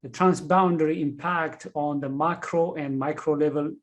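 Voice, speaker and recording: A man from Ethiopia with an Ethiopian accent, speaking as a guest in an interview and explaining his background in an earnest, deliberate way. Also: on the high side, fairly even intonation. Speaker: guest, leadership coach and author. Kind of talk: interview answers.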